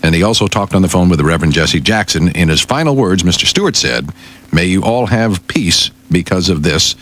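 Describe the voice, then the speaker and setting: An American man working as radio newsreader and sidekick, giving the news and conversing in a mild, measured way. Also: sits low, animated, a bit bright. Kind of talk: news reading mixed with casual banter. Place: radio studio.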